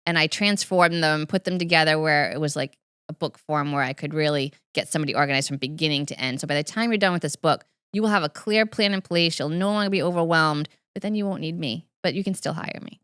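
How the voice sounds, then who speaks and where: Brisk, smooth-voiced woman organizer. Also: deep voice, fairly bright tone, no room sound, studio quality. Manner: upbeat, laughs easily. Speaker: professional organizer, guest. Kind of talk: interview conversation.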